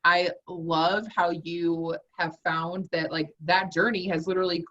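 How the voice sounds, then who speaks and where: A woman's voice: fairly low pitch, little pitch movement, unhurried, a bit bright. Enthusiastic woman host, dull lo-fi sound. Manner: enthusiastic, rambling. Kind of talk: interview conversation.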